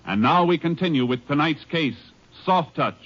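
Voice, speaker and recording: A man's voice: a little high, expressive, normal speed, a bit bright. A man announcing a 1950s radio drama in a formal style. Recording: dull old broadcast.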